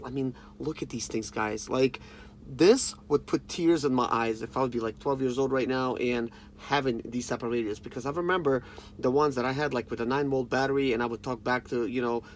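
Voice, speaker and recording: A man in his thirties with a medium-pitched voice hosts a casual review. He speaks off the cuff, haltingly and informally, addressing viewers directly. There is noticeable background noise around his voice. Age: 30s. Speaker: review channel host. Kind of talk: casual unscripted product review. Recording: clearly noisy background ambience.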